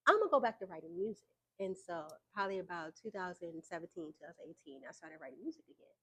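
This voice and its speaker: A young adult woman speaks as a guest in a sit-down interview. Her voice is soft and sits a touch low, and she tells her story loosely, with plenty of fillers and easy laughs along the way. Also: fast talker, husky. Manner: relaxed, frequent fillers, laughs between points. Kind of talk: casual conversational storytelling.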